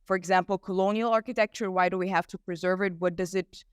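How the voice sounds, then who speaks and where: A woman's voice: lowish voice, fairly even intonation, fast, smooth, no echo, studio quality. A woman from Egypt asking a thoughtful question from the audience at a conference, with some fillers.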